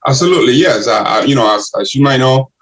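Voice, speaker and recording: An older man from the Republic, answering interview questions in halting English full of restarts. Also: medium pitch, expressive, slightly rough, poor audio. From Dominican Republic. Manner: halting, many restarts and uh fillers. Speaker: guest, city councilor and candidate.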